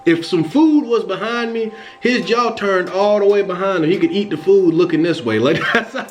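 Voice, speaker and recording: An American man giving casual, animated fan commentary in slangy, profane, conversational speech, acting out lines as he retells a story. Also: high voice, normal speed, faint music behind. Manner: animated, conversational, acts out lines and sound effects. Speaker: host of a comics and animation channel.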